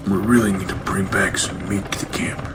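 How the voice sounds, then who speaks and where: Vehicle noise runs behind a deep-voiced scout played by a man. He talks in a flat, practical way, as a level-headed survivor in an audio drama. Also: a little fast, natural voice. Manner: matter-of-fact, steady. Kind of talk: post-apocalyptic audio drama acting. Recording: clearly noisy, vehicle ambience.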